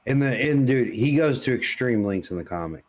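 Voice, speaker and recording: A man's voice: medium pitch, expressive, normal speed. A man co-hosting a podcast in loose, casual chat, peppering his talk with slang. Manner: informal, loose, says dude and like often. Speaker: podcast co-host. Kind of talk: casual chat about comics and films.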